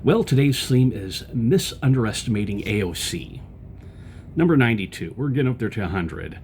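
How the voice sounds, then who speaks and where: A man's voice: medium pitch, animated, normal speed, darkish tone, a little hiss or hum. A man hosting his own podcast, delivering a loose political commentary monologue full of fillers and restarts. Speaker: podcast host.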